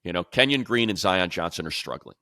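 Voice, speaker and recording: A man's voice: deep voice, lively intonation, a little fast, bright, forceful tone, no echo, clean studio sound. A man hosting casual sports talk, informal and emphatic, with a self-deprecating streak. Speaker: sports talk show host.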